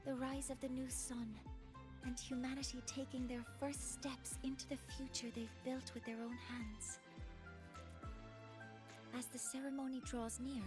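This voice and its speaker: A devoted young researcher from a video game, acted by a woman, high-voiced and earnest. She speaks gently and formally, with hesitant pauses. Music is clearly heard behind her. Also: natural voice. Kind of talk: dramatic game voice acting.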